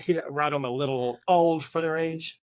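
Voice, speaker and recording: A woman's voice: sits low, moderate pace, dry recording. A woman co-hosting a podcast in a casual, conversational book discussion. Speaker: podcast co-host.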